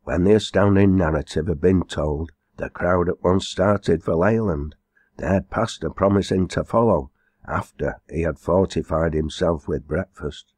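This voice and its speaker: A man narrates a folk tale from a book in a low-pitched voice. His delivery is measured and formal. The recording is dry, with very little room sound. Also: a little mellow, no echo. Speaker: audiobook reader. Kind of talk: scripted storybook narration.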